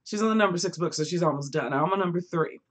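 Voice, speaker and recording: A woman hosting a live book chat from home on her phone, chatty and quick to laugh, with a voice that is going hoarse. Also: lowish voice. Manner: chatty, laughs often, rambling asides. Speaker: book vlogger hosting a livestream. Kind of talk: casual live chat with viewers.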